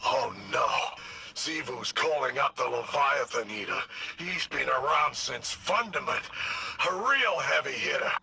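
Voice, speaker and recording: A man's voice: a little high, animated, a little slow, vocal fry, bright, forceful tone, a little hiss or hum, full character voice. A man voicing a self-serving, wisecracking machine companion in a video game, with a blunt, slangy delivery. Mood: alarmed. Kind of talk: video game character acting.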